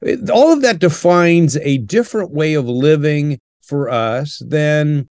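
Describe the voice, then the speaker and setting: A man's voice: fairly high pitch, darkish tone, no room sound. Older man, earnest, dry close sound. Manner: earnest, measured, with frequent "uh" pauses. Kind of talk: interview answers, teaching tone.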